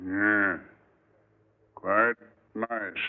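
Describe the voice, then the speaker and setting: An aged, enigmatic drifter in a vintage radio play, heard through a thin, tinny recording. He talks slowly and deliberately in a lowish voice, sly and cryptic, giving little away. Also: steady tone. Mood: sly, knowing. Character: mysterious, cryptic aged male hitchhiker, sly and unhurried. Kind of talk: 1940s radio drama acting.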